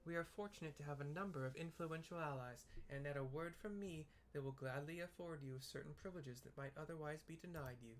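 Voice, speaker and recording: A man's voice: fairly high pitch, brisk, hardly any pauses, natural voice. A man voicing a formal, courtly young lady leader from a video game in an attempted British accent, now and then stumbling over the words. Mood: courteous, persuasive. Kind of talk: amateur read-aloud of game dialogue. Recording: clearly audible background noise.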